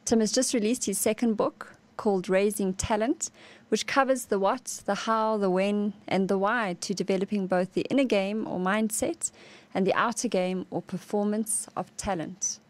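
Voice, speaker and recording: A woman's voice: medium pitch, steady tone, normal speed, darkish tone. A woman hosting a talk show, reading a polished introduction and putting questions to her guest. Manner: clear, polished presenter delivery. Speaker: podcast host.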